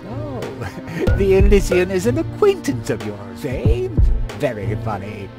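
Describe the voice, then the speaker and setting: An actor playing a pompous, grumpy small-town doctor in a game, officious, sarcastic and theatrical. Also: male voice, high voice, very animated, a little slow, loud music behind the voice. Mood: officious, mocking. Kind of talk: animated game dialogue.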